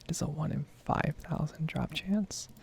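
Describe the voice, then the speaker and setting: A soft-spoken, unhurried gaming host giving a hushed, whispery ASMR ramble over gameplay. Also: mid-range voice, a little hiss or hum. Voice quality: whispery, creaky.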